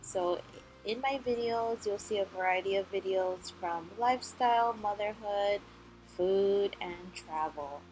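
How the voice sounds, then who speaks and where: Slow-talking mom vlogger from Hawaii. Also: female voice, medium pitch, a little mellow, soft background music, low-quality recording. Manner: chatty, explaining. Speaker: vlogger, mother. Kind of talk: casual voice-over narration.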